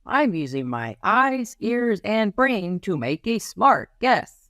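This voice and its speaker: Man playing plainspoken storybook schoolkid: raised pitch, exaggerated earnest delivery, simple childlike phrasing. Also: big pitch swings, takes it slowly, sharp tone, no echo, clean studio sound, heavily acted. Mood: bright, earnest.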